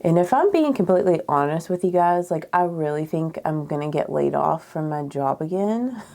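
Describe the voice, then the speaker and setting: A woman in her thirties with a froggy, raspy voice, chatting candidly and ramblingly as a beauty vlogger doing a makeup tutorial indoors. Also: low voice, animated, moderate pace, a little mellow. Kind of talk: casual chat during makeup tutorial. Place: small furnished room indoors.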